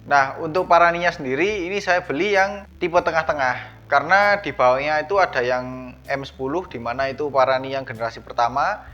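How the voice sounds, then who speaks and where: A man's voice: on the high side, a little fast, pushed and bright. A man narrating a product unboxing in a casual, conversational, explanatory way. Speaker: unboxing vlogger. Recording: dull lo-fi sound, music behind. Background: background music.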